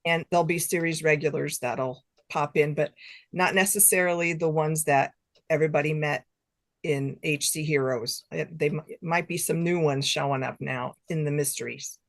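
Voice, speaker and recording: A woman's voice: deep voice, steady tone, moderate pace, no room sound. A middle-aged woman, an author being interviewed, chatting casually and thinking aloud in loose, informal sentences. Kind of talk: casual chat.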